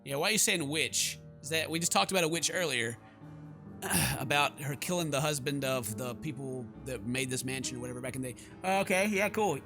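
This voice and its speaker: A man's voice: mid-range voice, a little fast. A man hosting a gaming video, reading story text aloud and commenting in a jokey, theatrical way. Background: game music.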